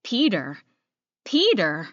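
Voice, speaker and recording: A woman voicing an angry, proud female werewolf leader, sharp and confrontational, in an audiobook. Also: medium pitch, animated, a little slow, no room sound, muffled recording. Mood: demanding.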